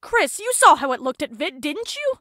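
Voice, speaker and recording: A woman's voice: high voice, very animated, moderate pace, sharp tone, dry recording, clean studio sound, exaggerated voice. A woman voicing a blunt, argumentative young squad member in a visual novel, matter-of-fact and challenging.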